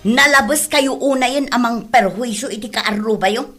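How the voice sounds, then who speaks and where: Woman acting high, sharp-voiced jealous Ilocano wife. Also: moderate pace, faint music behind, lightly acted. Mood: scolding, suspicious. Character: jealous, furious wife scolding husband and neighbours. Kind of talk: Ilocano comedy audio drama acting.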